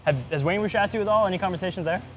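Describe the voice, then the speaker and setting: Quick-talking man interviewing, music behind. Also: sits high, fairly bright tone, a little hiss or hum. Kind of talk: casual sports interview.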